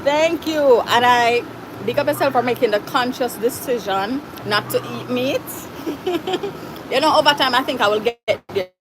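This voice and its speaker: A woman's voice: a little high, animated, a little slow, noisy background. Jamaican woman chatting on a livestream. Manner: chatty, reads viewer comments aloud, Jamaican Patois phrases. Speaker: livestream host. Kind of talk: casual livestream chat reading comments.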